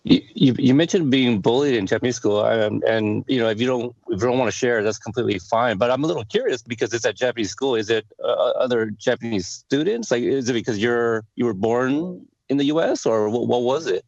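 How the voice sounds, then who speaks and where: A man's voice: mid-range voice, fairly quick, hardly any pauses, darkish tone, no echo. Friendly male host, dry lo-fi sound. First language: Lao. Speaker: podcast host. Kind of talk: casual interview chat.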